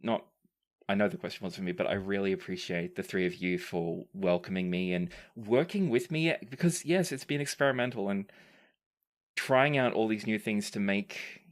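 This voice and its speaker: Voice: low-pitched, moderate pace. A podcast host and game master chatting casually, earnest and appreciative in manner. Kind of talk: casual podcast chat and sign-off. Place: remote group recording over a call.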